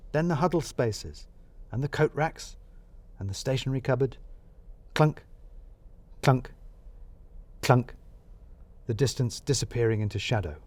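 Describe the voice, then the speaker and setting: A man narrating a scripted comic mystery in the first person, wry and expressive. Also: medium pitch. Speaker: narrator of a serial mystery story.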